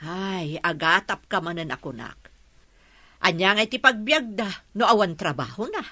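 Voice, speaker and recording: A woman's voice: medium pitch, animated, moderate pace, sharp tone, no echo, clean studio sound. A woman playing an outspoken, reproachful mother arguing in a radio drama with an Ilocano Filipino accent.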